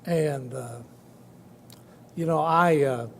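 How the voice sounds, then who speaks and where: An older man in his seventies or beyond giving an informal personal talk, halting and folksy, with many false starts and repeated words. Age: 70s or older. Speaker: guest speaker, trial lawyer. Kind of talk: informal personal testimony speech. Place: large room at a dinner event. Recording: echoey hall, noisy with low rumble.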